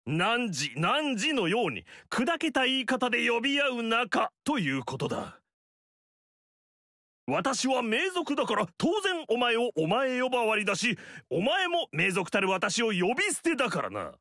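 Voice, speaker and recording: A man's voice: very high-pitched, sing-song, a little slow, sharp tone, dry recording, studio quality, exaggerated voice. An actor playing a pompous, haughty middle-aged noble lord who lectures a young girl in a game. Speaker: game character. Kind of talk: game story dialogue.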